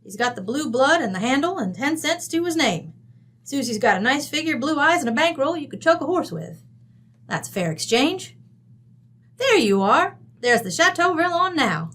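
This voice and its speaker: Brash, high-pitched Western rancher widow. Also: female voice, sing-song, moderate pace, fairly bright tone. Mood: breezy, blunt. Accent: American West. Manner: blunt, slangy, bossy. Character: brash, folksy wealthy widowed cattle rancher from Wyoming. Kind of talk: old-time radio drama acting.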